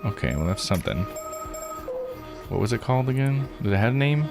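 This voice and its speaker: A man streaming a visual novel, thinking out loud in casual live commentary as he reads and puzzles. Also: mid-range voice, big pitch swings, brisk, vocal fry, soft background music. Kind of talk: casual live game commentary, reading text aloud.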